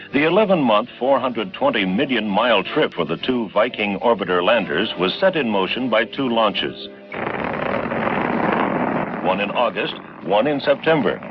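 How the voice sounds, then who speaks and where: A man's voice: fairly low pitch, muffled recording. A man narrating a scripted documentary, heard on an archival recording. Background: faint music.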